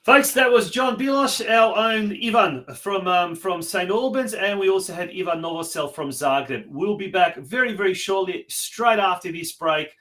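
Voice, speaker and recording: Australian male sports show host, slightly high voice, halting and repetitive live delivery. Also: expressive, bright, forceful tone, a little hiss or hum.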